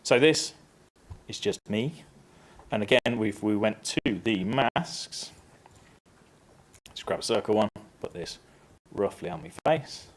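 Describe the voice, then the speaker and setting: Upbeat man giving a live software demo. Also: fairly low pitch, lively intonation, slow, pauses often. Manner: casual and upbeat, steps through clicks. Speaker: presenter. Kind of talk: live software tutorial talk. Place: conference stage.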